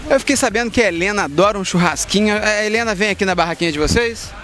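A young Brazilian man hosting a playful street vox pop outdoors, teasing passersby with quick questions on a handheld mic. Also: sits high, animated, fairly bright tone. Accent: Brazilian Portuguese. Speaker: show host and street reporter.

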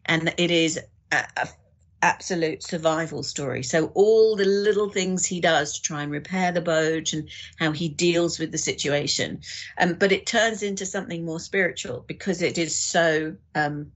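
A woman's voice: low-pitched, moderate pace. A woman guest on a podcast, talking thoughtfully in a conversational way.

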